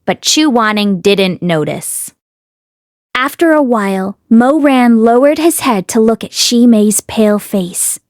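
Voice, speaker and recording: A woman narrates a fantasy novel in an expressive storytelling style. Her voice sits fairly high. The sound is dry, with no room around it. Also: measured pace, no room sound. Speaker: audiobook reader.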